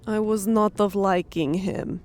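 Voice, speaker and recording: Devoted tailed alien female, played by a male narrator: lifted pitch, gravelly creak, formal broken phrasing, fantasy audiobook. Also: fairly even intonation, measured pace, darkish tone. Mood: sullen. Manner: stilted, oddly ordered foreign-style phrasing.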